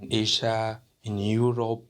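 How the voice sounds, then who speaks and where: Hesitant young man with a lowish voice. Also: level tone, measured pace. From DR Congo. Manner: hesitant, many fillers and restarts. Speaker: international student representative. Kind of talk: interview answers.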